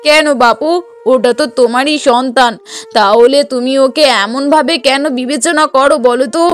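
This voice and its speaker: A high-pitched middle-aged mother from a moral-story cartoon, played by a woman. She is bossy and sharp-tongued, always scheming. She scolds and argues as if nobody else may have a say. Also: fairly even intonation, normal speed, clean tone, lightly acted. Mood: bossy, indignant.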